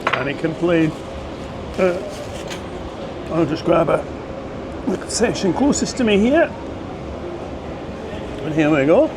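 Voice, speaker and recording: A man's voice: a little high, big pitch swings, slow, long pauses. A man hosting a restaurant review vlog, chatting casually and teasing his friends at the table. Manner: teasing, joking banter. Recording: loud street noise behind.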